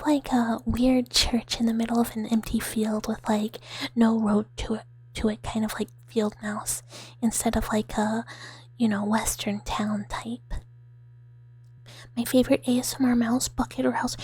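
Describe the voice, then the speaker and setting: A woman with a breathy, whispery, soft voice chatting playfully to viewers on an ASMR livestream, rambling and making mock threats. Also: fairly high pitch. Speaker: VTuber streamer playing a mouse character.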